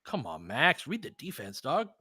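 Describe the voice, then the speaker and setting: A man hosting a live basketball watch-along, talking as an excitable, swearing fan who also analyzes the play. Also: mid-range voice, normal speed, husky, dry recording, clean studio sound. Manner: profane, excitable fan talk with analytical asides. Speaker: sports podcast host and analyst.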